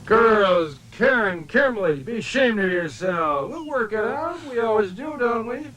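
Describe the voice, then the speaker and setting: An actor playing a carefree, flirtatious golfer enjoying a fantasy life in a comedy film. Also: male voice, sits high, animated, unhurried, a crowd in the background. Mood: playful.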